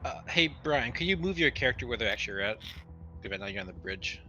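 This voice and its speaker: A man's voice: mid-range voice, expressive, fast, pushed and bright, highs cut off, faint music behind. A man playing a tabletop roleplaying game, chatting casually about his moves.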